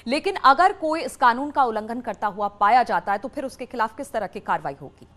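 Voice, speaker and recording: A woman's voice: on the high side, lively intonation, brisk, a bit bright. A woman, a TV news interviewer asking long, polite, formal questions.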